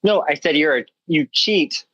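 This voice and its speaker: A man's voice: fairly high pitch, lively intonation, a bit bright, no room sound. Teasing North American man, dull dry mic. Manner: teasing, halting, many restarts. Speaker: streamer host. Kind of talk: casual chat on a gaming stream.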